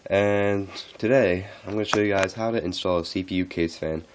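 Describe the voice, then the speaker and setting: A man who is a little sick, talking through a casual how-to walkthrough in a halting, unscripted way. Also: deep voice, steady tone, a little hiss or hum. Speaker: tech tutorial host.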